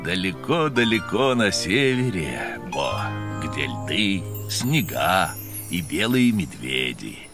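A man's voice: sits low, sing-song, takes it slowly, exaggerated voice. A man voicing a big, good-natured bull dad in a children's cartoon, easygoing and fatherly. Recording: music behind the voice.

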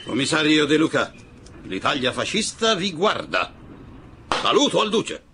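A man's voice: fairly high pitch, big pitch swings, a little slow, noisy background. An actor playing a pompous, menacing fascist party official in an Italian radio drama, declaiming his words. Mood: solemn.

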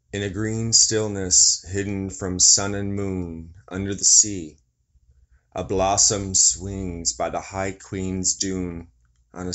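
A man reading poems aloud in a solemn, deliberate way. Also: low voice, a bit bright. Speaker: poetry reader. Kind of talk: slow poetry recitation.

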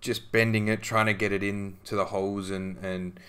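Man, lowish voice, relaxed hobby tutorial voice-over, chatty with ums. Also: moderate pace, smooth. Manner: relaxed, conversational, frequent ums and restarts. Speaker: scale modelling tutor.

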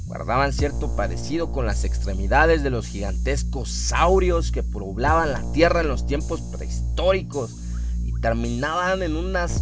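A man narrating a scripted horror story in a measured, deliberate way, with drawn-out phrasing. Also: medium pitch. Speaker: narrator of a horror audio drama. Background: music.

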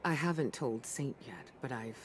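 An actress playing a composed, regretful middle-aged woman leader, speaking gravely and with measure in a video game. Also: rumbling low, animated, normal speed, a little hiss or hum. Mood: somber, regretful. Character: composed, regretful female military spymaster and leader. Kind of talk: dramatic video game monologue.

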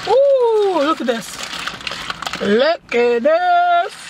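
A woman's voice: very high voice, very animated, drawn-out words, noisy background. A young woman chatting playfully with a friend while filming a vlog inside a car, laughing and teasing. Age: young adult. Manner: playful, sings along to music, laughs often. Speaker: vlogger. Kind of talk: casual car vlog chat. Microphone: dashboard camera. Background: music playing in the car.

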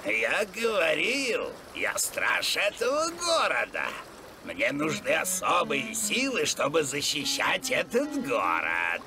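A man playing an eccentric old guardian of an ancient city in a dubbed children's cartoon, gravelly and theatrical. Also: fairly high pitch, huge pitch swings, takes it slowly, noisy background, exaggerated voice. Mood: sly, explaining. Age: older. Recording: loud music under the voice.

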